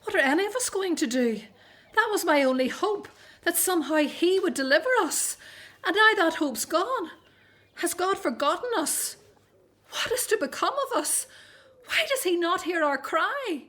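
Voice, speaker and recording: An actress playing a troubled, despairing grown daughter of Hebrew slaves in a radio drama. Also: female voice, very high voice, animated, moderate pace, fairly bright tone.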